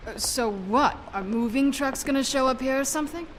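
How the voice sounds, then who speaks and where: A defiant witch in a fantasy noir game voiced by an actress. She is blunt and grudging, with a proud edge and a fairly high voice. Light rain noise runs behind her. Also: fairly quick, lightly acted. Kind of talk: dramatic video game voice acting.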